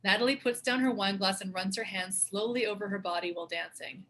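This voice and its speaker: A woman's voice: mid-range voice, fairly quick, fairly bright tone, a little hiss or hum, low-quality recording. A woman reading stage directions as scripted narration for a screenplay table read.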